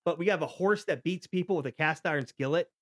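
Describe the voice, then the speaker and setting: A middle-aged man hosts a podcast, telling stories casually. His voice sits a little high and he often restarts and repeats words. The sound is very dry. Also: fast talker, no echo. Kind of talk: casual conversational storytelling.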